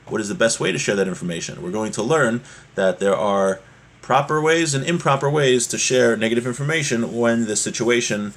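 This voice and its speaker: A man's voice: medium pitch, expressive, fairly quick. A man teaching an informal religious lesson, reading from a text and explaining it with frequent check-in questions.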